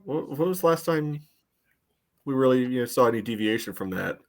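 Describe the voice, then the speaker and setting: A man's voice: medium pitch, animated, fairly quick. A man co-hosting a casual soccer podcast with a dry, emphatic, sardonic way of talking. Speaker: sports podcast co-host.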